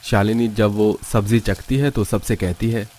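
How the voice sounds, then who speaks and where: A man's voice: on the low side, fairly even intonation, normal speed, darkish tone. A man delivering scripted narration as the storyteller of a cartoon tale.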